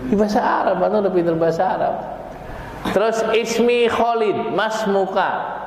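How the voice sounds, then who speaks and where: Javanese-accented man teaching in a booming, echoey hall. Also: animated, normal speed. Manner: colloquial, jokey, acting out short phrase exchanges. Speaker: Arabic language teacher. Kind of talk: informal classroom lesson with example dialogues. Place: large echoey room, teaching a live class. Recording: very echoey reverberant hall, clear background noise.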